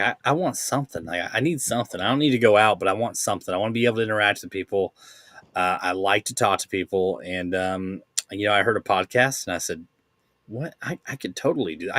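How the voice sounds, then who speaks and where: A man co-hosting a podcast in casual chat, relaxed and quick to laugh, telling stories with many fillers. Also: fairly low pitch, no room sound, clean studio sound. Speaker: podcast co-host.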